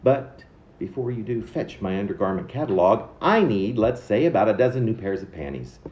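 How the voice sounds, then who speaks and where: A man reading his own poem aloud theatrically, in an arch, mannered, halting delivery with dramatic emphasis. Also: fairly low pitch, lively intonation, a little mellow, echoey room, highs cut off, a little hiss or hum. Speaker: poet reading own work.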